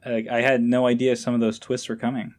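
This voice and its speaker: A man's voice: mid-range voice, monotone, a little fast, a little mellow. A man speaking as a podcast guest in a casual, informal and somewhat hesitant, enthusiastic way. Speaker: podcast guest, amateur voice actor. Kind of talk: casual interview chat.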